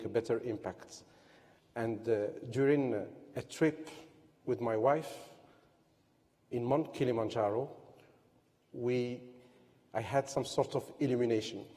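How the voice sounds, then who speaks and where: A man recounts his path into business on a conference stage. His voice is warm and mellow, his delivery measured and dotted with fillers. Long reverb from a large hall trails his words. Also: medium pitch. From Morocco. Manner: measured, pausing often with fillers. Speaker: entrepreneur. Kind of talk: personal story on a conference panel.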